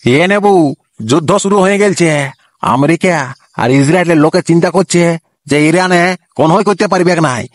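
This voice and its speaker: A man voicing a gruff army commander giving orders in a comic cartoon, with a Purulia Bengali accent. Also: high-pitched, no echo, clean studio sound, pitched below the performer's own voice, natural voice. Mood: commanding. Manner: barking orders, slow and deliberate.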